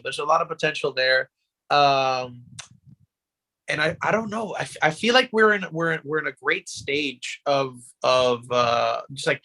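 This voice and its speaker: A man hosting a podcast, explaining things casually and haltingly, often repeating words as he goes. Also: medium pitch, bright, forceful tone. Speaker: podcast host.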